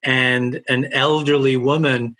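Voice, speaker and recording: A man in his sixties, Italian as his first language, hosting an interview and talking in a thoughtful, halting way with frequent restarts. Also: medium pitch, flat delivery, bright, forceful tone. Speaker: interviewer, host. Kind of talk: conversational interview.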